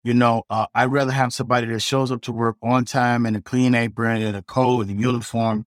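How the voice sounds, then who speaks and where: Middle-aged chef rambling in casual talk. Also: male voice, medium pitch, little pitch movement, fairly quick, dry recording, clean studio sound. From South Side of Chicago. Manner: rambling, frequent restarts and "you know", earthy. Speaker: chef guest. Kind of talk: casual storytelling interview.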